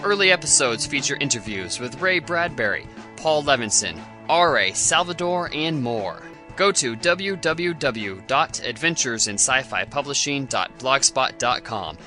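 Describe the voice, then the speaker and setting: A man's voice: mid-range voice, lively intonation, measured pace, over music. A man delivering a scripted promo for a podcast.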